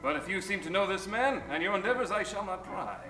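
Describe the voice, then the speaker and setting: A man's voice: high voice, lively intonation, fast, sharp tone, reverberant, soft background music. A man playing a chatty, eager small-town cab driver in an old-time radio comedy, with folksy, theatrical delivery. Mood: obliging.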